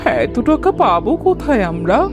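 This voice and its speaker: A woman plays an anxious village wife and mother from a cartoon. Her voice is high and plaintive, rising and falling dramatically over clearly audible music. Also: sing-song, a little slow. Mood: fretful. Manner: fretful, plaintive. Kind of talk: Bengali cartoon voice acting.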